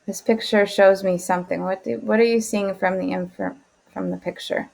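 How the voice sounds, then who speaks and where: A woman's voice: mid-range voice, steady tone, normal speed, gravelly, a little mellow. A woman teacher leading an interactive picture-book lesson for children, encouraging them and prompting with questions. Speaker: teacher reading aloud to children.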